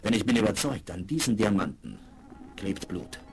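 An actor playing a resolute, level-headed western adventurer in a German radio play, speaking in a measured, earnest way. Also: male voice, lowish voice, sing-song, vocal fry, darkish tone, soft background music. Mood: calm, determined. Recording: 1970s vinyl, faint hiss.

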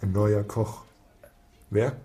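Brusque police investigator, dark mellow voice. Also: male voice, fairly low pitch, level tone, extremely slow. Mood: stern, impatient. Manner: curt, commanding, sarcastic. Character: brusque, impatient male police lead investigator. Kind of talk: naturalistic radio drama acting.

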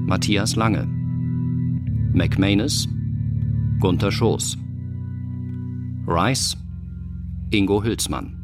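Male announcer, formal scripted credits, very slow deliberate delivery, prominent music behind. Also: fairly low pitch. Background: prominent instrumental music.

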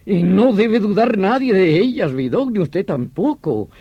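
An actor in a radio drama playing a vehement veteran detective, explaining things in an emphatic, theatrical manner. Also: male voice, high-pitched, animated, measured pace, husky, dry recording, dull audio, full character voice. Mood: solemn, vehement. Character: vehement veteran police detective obsessed with hunting a vampire villain.

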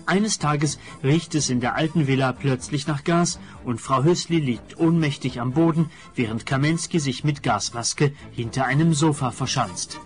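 A man's voice: medium pitch, moderate pace, few pauses, soft background music. A man announcing a radio play episode in a scripted recap narration.

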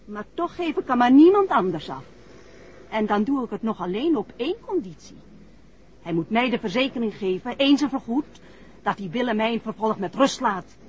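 A woman's voice: on the high side, animated, normal speed, a little mellow, a little hiss or hum, close to an everyday voice. An actress playing an anxious but determined mother in a 1960s Dutch radio drama, earnest and deferential. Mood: firm, insistent. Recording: dull, muffled vintage radio drama recording.

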